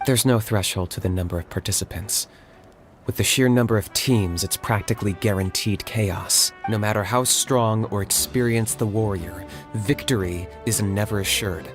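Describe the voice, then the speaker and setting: A man's voice: fairly low pitch, moderate pace, close to an everyday voice. A man voicing a serious, blunt young warrior in a game, firm and matter-of-fact. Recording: game audio under music.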